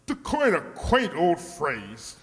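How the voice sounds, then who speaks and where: An actor playing a gruff, imperious portrait painter with a curt, blunt manner in a radio comedy. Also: male voice, fairly high pitch, wildly swooping pitch, takes it slowly, fairly bright tone, full character voice. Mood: gruff, boastful. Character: gruff, brusque, macho male portrait painter. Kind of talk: old radio comedy acting. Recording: long hall reverb.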